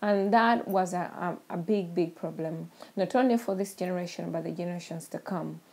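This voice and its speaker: A middle-aged woman with a Ugandan accent, explaining and demonstrating a product she invented, halting often with ums and restarts. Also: lowish voice, vocal fry, a little mellow.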